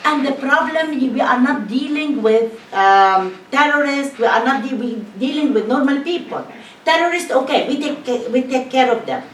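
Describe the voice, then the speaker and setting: A woman's voice: high-pitched, moderate pace. Impassioned woman, echoey room. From northern Israel. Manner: emphatic, rhetorical questions, repeats herself. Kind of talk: impassioned public talk. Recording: echoey room, mic at a distance, faint hiss.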